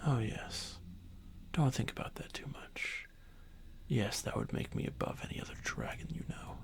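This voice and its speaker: A man playing an arrogant, domineering dragon thousands of years old, in a breathy, creaky half-whisper for a fantasy ASMR roleplay. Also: mid-range voice, close to an everyday voice. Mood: boastful, aloof. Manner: slow, haughty, deliberate.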